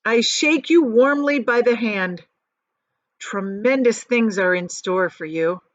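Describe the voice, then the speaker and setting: A woman teacher reading a children's book aloud with expressive, softly varied storytelling. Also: fairly high pitch, takes it slowly. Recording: dry, dull lo-fi audio.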